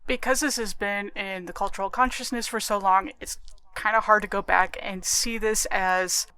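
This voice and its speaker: A woman co-hosting a podcast, talking casually in a measured, analytical way. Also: mid-range voice, fairly even intonation, sharp tone, clear, resonant tone. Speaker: podcast co-host.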